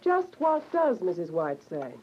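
A woman's voice: on the low side, wildly swooping pitch, unhurried, husky, exaggerated voice. An actress playing a cold, mocking, sceptical mother in a radio drama. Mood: sarcastic. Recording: muffled, noisy radio drama recording.

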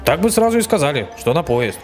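A man voicing a gruff, slow-witted railway station attendant in a toy story, grumbling as he talks. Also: fairly high pitch, very animated, music in the background, voice pushed down.

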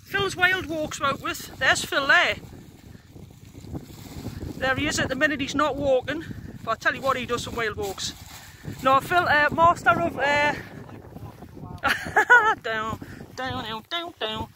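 A woman's voice: high voice, lively intonation, unhurried, pauses often, background noise. A woman, chatting and teasing as she films her camping trip on open moorland with a handheld phone. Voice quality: gruff. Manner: chatty, teasing, laughs often. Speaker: outdoor vlogger. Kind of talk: casual handheld camping vlog. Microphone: phone camera held by the speaker.

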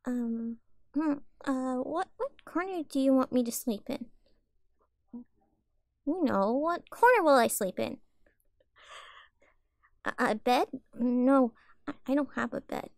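High-voiced, timid, childlike catgirl. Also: expressive, takes it slowly, long pauses, a little mellow, dry recording, clean studio sound, heavily acted. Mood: anxious, confused. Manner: hesitant, stammering, meek. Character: timid, childlike catgirl, sweet, anxious, eager to please. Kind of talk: roleplay audio acting.

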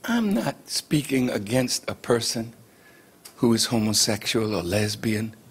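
An older man preaching a sermon to a church congregation, building to shouts and mimicking voices to mock division. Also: mid-range voice, sing-song, measured pace, husky. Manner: preaching with rising shouts and acted mocking voices.

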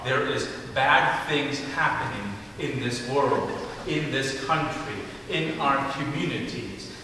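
A man's voice: mid-range voice, a little hiss or hum. A man in his forties preaching from a pulpit in a large church sanctuary, reading scripture and telling a story before his sermon. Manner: measured, reads scripture then tells a story with animated character voices. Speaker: pastor. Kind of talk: sermon with a told joke. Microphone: pulpit mic, camera at the back. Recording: echoey church hall from far off.

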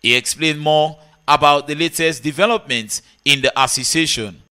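A man's voice: on the high side, moderate pace, pushed and bright, slight background noise. A man, narrating a scripted news report as a sports correspondent.

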